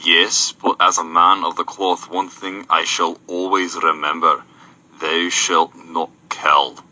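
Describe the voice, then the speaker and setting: A man playing a pious, defensive clergyman in an amateur audio drama, speaking in a solemn, measured way. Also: deep voice, flat delivery, pushed and bright, muffled recording, full character voice.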